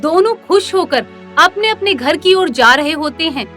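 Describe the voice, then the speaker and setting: A woman tells a scripted cartoon tale as its narrator. Her voice is high and bright, with animated rises and falls, over background music. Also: moderate pace. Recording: music under the voice.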